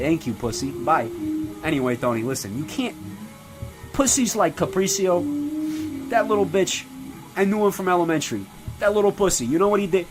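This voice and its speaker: A man playing a crude, foul-mouthed old Italian-American mobster who belittles people and rambles with sudden loud outbursts. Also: on the high side, natural voice. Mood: contemptuous. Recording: music playing clearly behind.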